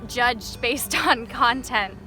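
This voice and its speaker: A woman's voice: fairly high pitch, slow, music in the background, low-quality recording. A nineteen-year-old woman from Massachusetts answering interview questions candidly, with fillers. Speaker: young interviewee.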